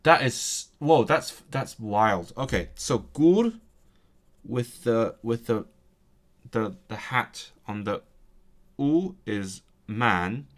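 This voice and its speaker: A man's voice: medium pitch, expressive, slow. A young man chatting casually on a live stream while he studies, breaking off to repeat practice words aloud. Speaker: language learning streamer.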